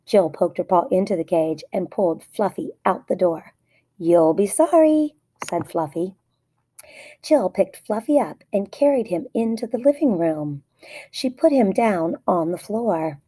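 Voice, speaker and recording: A woman's voice: medium pitch, expressive, a little slow, mellow, husky. A woman narrating a children's story aloud in short, plainly phrased sentences. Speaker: storybook reader.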